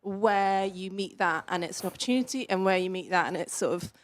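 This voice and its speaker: A woman's voice: mid-range voice, fairly quick. A woman in an audience asking a casual, tentative question, searching for her words. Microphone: handheld audience microphone.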